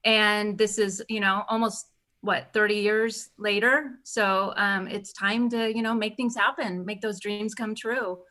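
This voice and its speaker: A woman's voice: medium pitch, steady tone, a little slow, bright, forceful tone. A woman in her forties, a guest performer chatting casually with the hosts on a live stream. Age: 40s.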